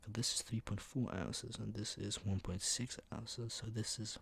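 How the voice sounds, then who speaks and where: A young man speaking in a soft ASMR half-whisper, unhurried and rambling, with a slightly sore, flu-worn voice. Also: fairly low pitch, fairly even intonation, vocal fry, breathy edge. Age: young adult. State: mildly sick, recovering from flu, sore voice. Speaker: ASMR video host. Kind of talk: ASMR, soft-spoken product show-and-tell. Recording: noticeable background noise with clicks.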